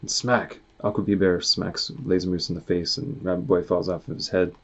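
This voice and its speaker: A man with a low voice reads a storybook aloud. He is casual and acts out the lines and noises as he goes. The recording is dull and slightly muffled. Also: flat delivery, moderate pace, a little hiss or hum. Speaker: author reading their own comic aloud. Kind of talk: animated storybook read-aloud with sound effects.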